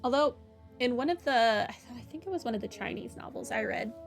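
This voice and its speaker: A woman's voice: on the high side, animated, brisk, gravelly. A woman hosting a playthrough, chatting casually and reacting aloud to the game. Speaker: gaming video host. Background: game music.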